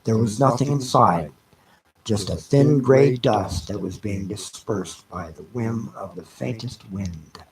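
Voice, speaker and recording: Slow, deliberate man reading a novel. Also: on the low side, crowd noise, rough recording. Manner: measured, deliberate, pausing between phrases. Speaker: narrator reading a novel aloud. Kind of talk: dramatic audiobook narration.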